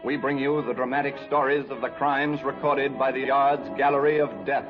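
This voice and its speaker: A man's voice: mid-range voice, little pitch movement, normal speed. A man announcing a 1950s radio crime drama in a formal, declamatory way. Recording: muffled old radio recording with music.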